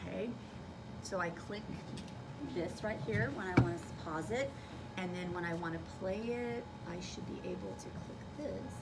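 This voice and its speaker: A woman's voice: on the low side, moderate pace. A woman teacher talking to children in a classroom, giving an instructive demonstration and thinking aloud step by step. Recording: noisy classroom, distant microphone. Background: room noise, children's voices.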